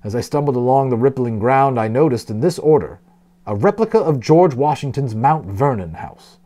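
Male audiobook narrator, expressive and dramatic, soft-edged tone, big swings in intonation. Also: medium pitch, normal speed. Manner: dramatic, with brief explanatory asides. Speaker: audiobook reader, storyteller.

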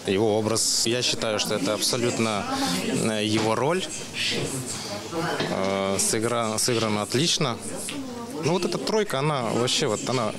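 A man in his thirties giving a halting, repetitive interview answer into a handheld microphone. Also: on the low side. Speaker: street interviewee. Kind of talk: informal vox pop interview answer. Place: large busy indoor public service hall. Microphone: handheld reporter microphone. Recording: busy hall crowd noise behind.

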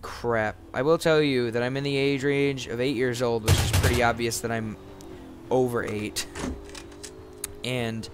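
A teenage boy with a nasal, scratchy voice from being sick, commenting on his gameplay in a casual, animated, rambling way. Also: medium pitch, a little slow, over loud music. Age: teens. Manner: animated, rambling, breaks into shouting and muttering. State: sick, congested voice with cracks. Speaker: gaming YouTuber. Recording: clear background noise, clicks.

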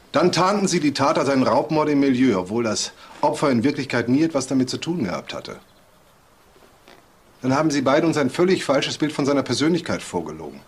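An actor playing a shrewd, composed male detective with a dry, deliberate manner in a crime audio drama. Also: medium pitch, slightly rough. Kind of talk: naturalistic German radio drama acting.

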